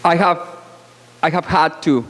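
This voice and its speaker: A woman born abroad speaks as a panelist at a conference, with a loud voice and a frank, assertive, deliberate delivery broken by pauses, into a microphone in a banquet hall. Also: fairly low pitch, steady tone. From born outside Canada. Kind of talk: conference speech. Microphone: microphone at podium. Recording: long hall reverb.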